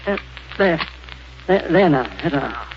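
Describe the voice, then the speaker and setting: An actor playing a kindly, fussing older scientist in a 1930s radio drama, hesitant. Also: male voice, on the high side, expressive, unhurried, gravelly. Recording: dull lo-fi old radio recording with loud hiss.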